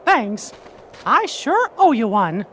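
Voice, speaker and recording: Cartoon mouse king, falsetto squeak, very lively, unhurried, soft music. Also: noisy background, exaggerated voice. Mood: earnest. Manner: earnest, upbeat. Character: cheerful high-voiced cartoon mouse king, adult male. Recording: game audio with music behind.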